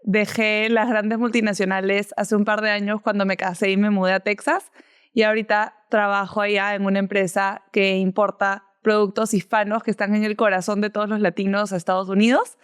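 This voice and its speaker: A woman's voice: mid-range voice, level tone, fairly quick, smooth. A woman, co-hosting a podcast and explaining things in an upbeat way. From Peru. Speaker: podcast co-host. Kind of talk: casual podcast chat.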